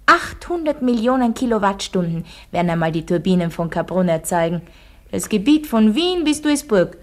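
A woman's voice: lowish voice, darkish tone, lightly acted. A woman playing an Austrian village schoolteacher in a radio play, quizzing her pupils and telling them a heroic tale with didactic emphasis. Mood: proud, solemn. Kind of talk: radio drama, classroom storytelling.

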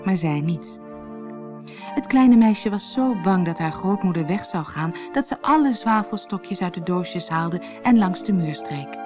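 Low-voiced woman telling a fairy tale. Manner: expressive, dramatic storytelling. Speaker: audiobook narrator. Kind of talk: scripted fairy-tale narration. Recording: music behind the voice. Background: music.

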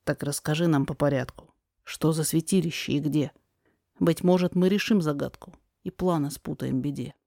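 A woman playing a courteous, solemn gentleman investigator in a rhymed audio drama, speaking formally and earnestly. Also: low-pitched, fairly even intonation, normal speed, creaky, no echo, clean studio sound. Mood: inquiring. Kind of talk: rhymed verse drama reading.

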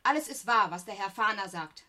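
Bright-voiced, defiant young woman. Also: medium pitch, expressive, a little fast. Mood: bitter. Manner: emphatic, reproachful. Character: aggrieved, proud young working woman, defiant sister. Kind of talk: German radio play acting.

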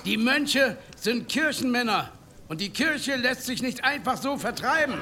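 A man acting an emphatic village headman in a German radio drama, firm and urging calm with authority. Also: very high voice, unhurried, heavily acted. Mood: firm, commanding. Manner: emphatic, declamatory.

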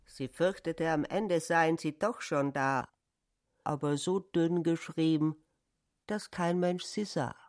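A woman's voice: low voice, slow, a little mellow. A woman narrating a satirical literary radio drama. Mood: pointed.

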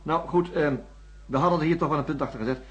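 A man's voice: on the high side, fast talker, highs cut off, over loud music, close to an everyday voice. An actor playing an inquisitive, insistent doctor in a radio drama, probing and murmuring as he listens. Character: inquisitive, insistent male doctor debriefing a patient.

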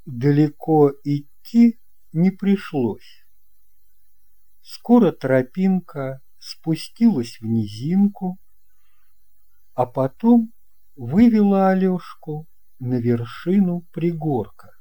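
A man narrating a children's tale as an audiobook reader, in a measured, expressive way. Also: fairly high pitch. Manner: slow, measured, expressive reading.

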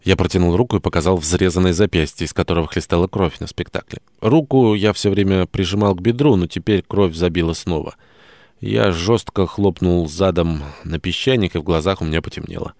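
Male, low pitch, audiobook narrator, scripted first-person storytelling, dry studio-like sound. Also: a little fast, creaky, darkish tone, no room sound.

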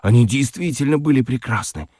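A man narrating a children's radio play as an expressive, dramatic storyteller. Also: medium pitch, a little fast, breathy edge, dry recording, clean studio sound.

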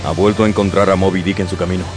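An actor playing an obsessed one-legged sea captain who speaks curtly and commandingly in a radio play. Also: male voice, deep voice, fairly even intonation, racing, close to an everyday voice. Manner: curt, commanding. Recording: radio drama over music.

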